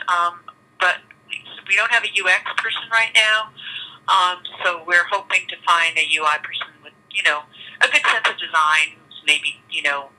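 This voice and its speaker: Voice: medium pitch, pushed and bright. An interviewer on a phone line, explaining things informally in a loose, hesitant way. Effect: telephone. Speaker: job interviewer at a tech startup. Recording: tinny, harsh telephone line.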